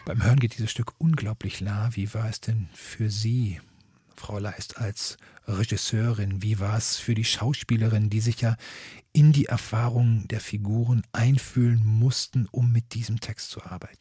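A man hosting a radio interview, speaking in a measured, articulate way with prepared questions and introductions. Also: on the low side, steady tone, dark tone, husky, dry recording, clean studio sound. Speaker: host. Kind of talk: scripted radio interview moderation.

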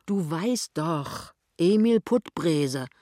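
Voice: mid-range voice, animated, very slow, warm tone, dry recording. Narrator playing a stern gaunt shopkeeper woman, brusque, bossy, emphatic, helpful, audiobook character, dry sound. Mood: impatient.

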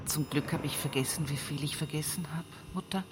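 A woman's voice: low voice, brisk, noisy background, natural voice. An actress playing a wry country woman with a Bavarian accent, talking intimately to her mother in a radio play monologue. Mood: wistful, intimate. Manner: folksy, addresses her mother directly. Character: rural Upper Palatinate woman reminiscing at mother's bedside, wry.

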